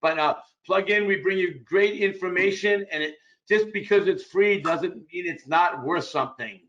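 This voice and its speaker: A man's voice: high-pitched, normal speed, a bit bright. A man hosting, casually making promotional announcements to wrap up, over lo-fi audio. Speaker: host. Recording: dull, lo-fi audio.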